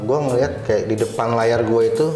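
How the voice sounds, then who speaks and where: A man's voice: mid-range voice, steady tone, fairly quick, mellow. A man with a Jakarta Indonesian accent telling his own story casually as an interview guest, in informal, slangy speech. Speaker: interview guest, cyber security supervisor. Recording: roomy echo, faint background music.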